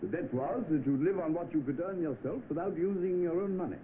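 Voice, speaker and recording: An actor playing an insistent gentleman in an old film drama. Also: fairly high pitch, a little fast, slight background noise, close to an everyday voice. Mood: insistent, surprised. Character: insistent gentleman holding a friend to a bet. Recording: tinny old film soundtrack.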